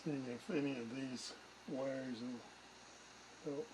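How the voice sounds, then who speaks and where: A noisy recording of a man with a mid-pitched voice talking through a hands-on repair. He thinks aloud casually, muttering short fragments to himself as he works. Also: fairly even intonation, takes it slowly. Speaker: radio repair hobbyist demonstrating a restoration.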